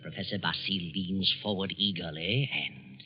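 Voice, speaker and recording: A man narrating and hosting a 1940s radio suspense program in a measured, ominous, deliberate way. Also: deep voice. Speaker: radio show narrator and host. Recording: lo-fi 1940s radio recording with loud music. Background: loud music.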